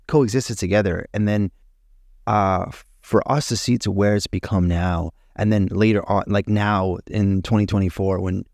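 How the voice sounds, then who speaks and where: A man's voice: low-pitched, darkish tone, no echo, studio quality. A man from Afghanistan who moved to Canada as a child, co-hosting a podcast with earnest, slangy, emphatic and impassioned casual talk. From Afghanistan, moved to Canada at almost ten. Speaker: podcast co-host.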